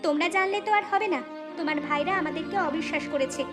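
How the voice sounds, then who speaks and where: A woman's voice: high voice, fast, over music, close to an everyday voice. A woman voicing a young daughter-in-law, proud and indignant, in a melodramatic cartoon manner. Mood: hurt, firm. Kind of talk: melodramatic cartoon story acting.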